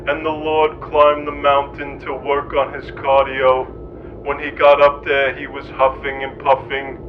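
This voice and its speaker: A man's voice: medium pitch, muffled recording, background noise, natural voice. A man playing a solemn, pompous reverend who gives a preachy mock scripture reading.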